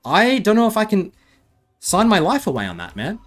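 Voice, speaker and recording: A man's voice: sits high, huge pitch swings, normal speed, a bit bright, faint music behind. An Australian man giving rambling, self-mocking commentary over gameplay, full of chatty asides. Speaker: gaming video creator.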